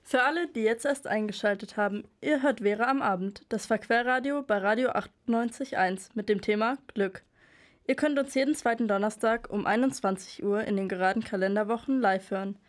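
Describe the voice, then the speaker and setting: A woman's voice: fairly high pitch, a little fast. Young woman announcing show details. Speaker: radio presenter. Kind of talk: scripted station announcement.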